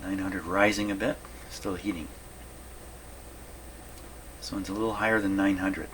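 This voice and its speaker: Male hobbyist repair host, low register, casual and folksy, talks to himself as he works, noticeably noisy audio. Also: steady tone, moderate pace, fairly bright tone. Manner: folksy, musing aloud to himself. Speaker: radio repair hobbyist hosting a video. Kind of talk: casual workbench commentary.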